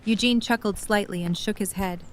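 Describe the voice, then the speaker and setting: Medium-pitched woman narrating an audio drama. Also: a little fast, low-quality recording. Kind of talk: scripted story narration.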